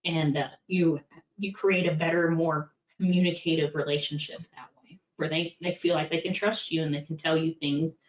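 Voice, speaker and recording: A woman's voice: sits low, fairly even intonation, moderate pace. A middle-aged woman in a compliance role giving a candid, practical talk, with many fillers. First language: English. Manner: conversational, practical, with frequent fillers. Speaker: compliance executive presenting. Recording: far-off echoey dull-sounding room.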